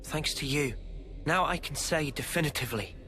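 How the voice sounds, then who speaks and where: A sincere young game hero, gentle yet resolute. His voice sits a little high with a bright, tense edge, measured, over faint music. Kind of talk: video game cutscene voice acting.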